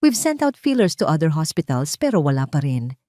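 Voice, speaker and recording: Woman playing a grave, gentle hospital doctor. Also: low-pitched. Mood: grave, regretful. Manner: calm, measured, mixing English and Tagalog. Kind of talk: audio drama dialogue.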